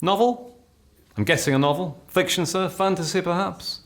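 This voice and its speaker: An actor playing a nosy train guard in a radio play, pointedly polite yet blunt and needling. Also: male voice, high-pitched, normal speed. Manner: sing-song ticket call, pointedly polite, blunt.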